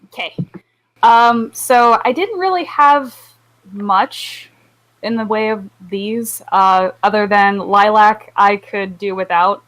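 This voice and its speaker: A woman's voice: medium pitch, takes it slowly, a bit bright, low-quality recording. A woman giving her opinions in a casual club discussion, in a wry, hesitant manner. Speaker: anime club podcast panelist.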